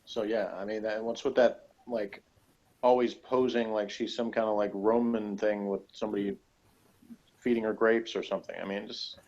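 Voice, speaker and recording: A man's voice: fairly low pitch, level tone, moderate pace, darkish tone, highs cut off. A man chatting casually and critically, giving blunt opinions as a reviewer of a TV episode.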